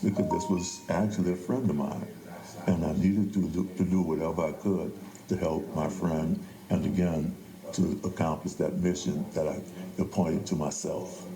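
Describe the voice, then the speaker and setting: A man's voice: low-pitched, steady tone, moderate pace, music in the background. An older man, a playwright telling his story conversationally in an interview. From New Orleans, Louisiana. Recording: echoey room with crowd murmur.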